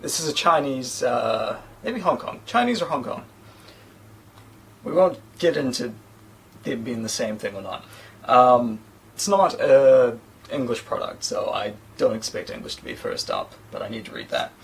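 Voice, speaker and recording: Chatty New Zealand man, lively pitch. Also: a little slow, vocal fry, slight background noise. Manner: chatty, rambling, self-deprecating asides. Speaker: tech reviewer. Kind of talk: casual unboxing talk. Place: small indoor room against a plain sheet backdrop.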